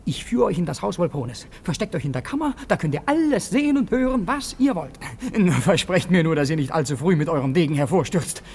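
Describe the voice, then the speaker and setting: An actor playing a sly young parasite in a classic stage comedy, theatrical, insinuating and emphatic. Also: male voice, fairly high pitch, sing-song, brisk, hardly any pauses, a little mellow, slight background noise. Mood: earnest persuasion. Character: sly scheming young male parasite, smooth flattering intriguer.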